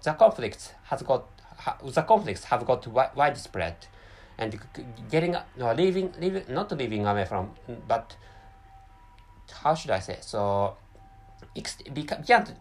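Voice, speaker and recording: A man speaking English as a second language, talking alone in a halting way, with many restarts and self-corrections. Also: medium pitch. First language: not English. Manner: apologetic about his English. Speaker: commentator. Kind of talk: unscripted solo monologue.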